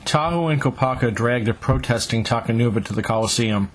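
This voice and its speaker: Guy reading a story really dry and flat, medium pitch, little bit of background noise. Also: fairly quick. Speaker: story reader.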